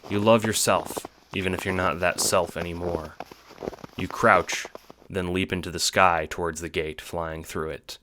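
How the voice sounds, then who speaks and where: A man's voice: deep voice, fairly even intonation, a little slow, noisy background. A man reading scripted second-person narration as the narrator of an audio drama.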